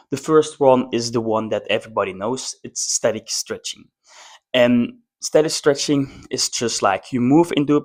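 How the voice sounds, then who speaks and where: A man who is a non-native English speaker, presenting an explainer in short, emphatic phrases. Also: medium pitch. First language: not English. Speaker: presenter, former athlete. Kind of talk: semi-scripted explainer to camera.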